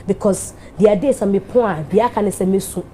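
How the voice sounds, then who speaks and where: A Ghanaian woman speaks with feeling as she looks back and thanks the people around her. Her tone is mellow and emphatic, and there is a faint hiss behind her voice. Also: mid-range voice, fairly quick. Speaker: TV show host giving an anniversary speech. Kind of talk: emotional speech mixing English and Twi.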